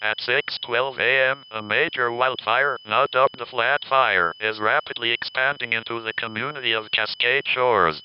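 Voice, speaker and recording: A man's voice: mid-range voice, moderate pace, hardly any pauses, lightly acted. Formal, slightly muffled man as alert announcer. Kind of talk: scripted emergency alert reading. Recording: slightly dull, faint hum.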